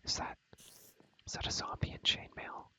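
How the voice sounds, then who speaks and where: A young man speaks in a breathy, unvoiced whisper, as in ASMR. He rambles casually through gameplay commentary with slangy wording, often repeating a phrase several times over. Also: moderate pace, sharp tone. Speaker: ASMR video creator, gamer.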